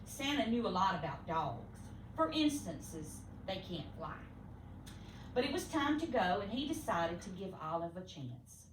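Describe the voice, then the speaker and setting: A woman reading a children's storybook aloud expressively, with theatrical, deliberate emphasis for young listeners. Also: lowish voice, sing-song. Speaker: storybook reader. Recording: echoey room, distant mic, faint noise.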